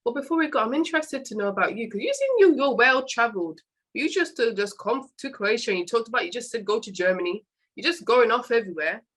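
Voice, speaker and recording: A woman's voice: a little high, lively intonation, quick pace, a bit bright. A woman with a British English accent hosting a podcast interview, talking in a chatty, rambling way and often restarting her words. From England. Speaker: podcast host. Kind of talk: casual interview chat.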